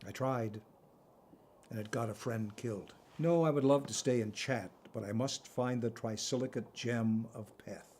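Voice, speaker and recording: An actor playing a calm, principled adventurer in an audio drama, speaking in a measured, slightly formal way. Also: male voice, mid-range voice, a little hiss or hum, lightly acted. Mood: gentle, weary. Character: calm, principled male adventurer seeking lost artifacts.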